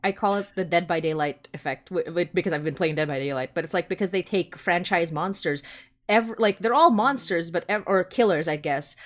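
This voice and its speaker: A woman's voice: fairly low pitch, fairly quick. A woman hosting a casual podcast panel, informal and enthusiastic in how she talks. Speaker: host.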